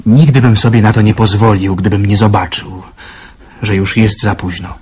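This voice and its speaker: An actor playing a calm young man in love who talks earnestly and teases gently in a radio drama. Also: on the low side, moderate pace, husky.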